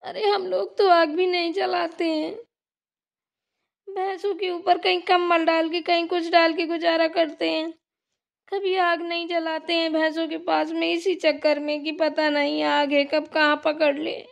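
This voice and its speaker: A very high, gravelly, crying old village grandmother voiced by a woman pleads in a wailing, slow sing-song. Her voice barely rises or falls. The recording sounds dull and lo-fi. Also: little pitch movement, full character voice. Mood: protesting.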